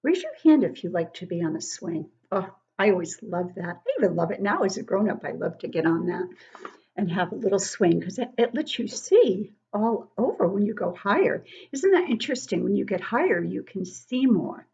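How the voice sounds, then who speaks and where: An older woman giving an interactive read-aloud lesson for young children, encouraging and expressive, asking questions and explaining things simply. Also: medium pitch, gravelly, slightly rough, highs cut off. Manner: encouraging, asks questions and pauses to praise answers. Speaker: children's book club teacher reading poems aloud.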